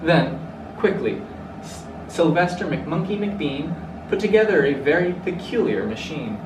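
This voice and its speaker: Man, young adult, storybook read-aloud, slightly high voice, echoey room, rhyming verse. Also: animated, measured pace. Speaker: children's story reader. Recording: roomy, distant mic, clearly noisy.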